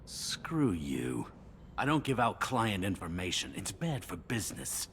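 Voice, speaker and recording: A man's voice: big pitch swings, a little slow, a bit bright, a little hiss or hum, full character voice. An actor playing a shifty, defiant male criminal in a video game, sneering and sarcastic. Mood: smug, defiant. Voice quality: low, gravelly.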